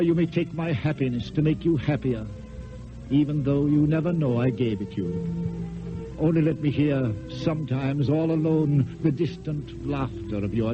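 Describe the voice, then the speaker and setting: An actor playing a passionate, eloquent poet wooing in secret, in lyrical theatrical declamation with dramatic pauses. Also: male voice, mid-range voice, lightly acted. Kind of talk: mid-century theatrical classical stage acting. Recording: dull old radio recording with music.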